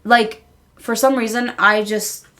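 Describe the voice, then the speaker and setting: A woman's voice: fairly high pitch, fairly even intonation, takes it slowly, a bit bright. A woman podcast host chatting casually and enthusiastically, like a keen fan, with plenty of "like" in her phrasing. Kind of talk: casual chat.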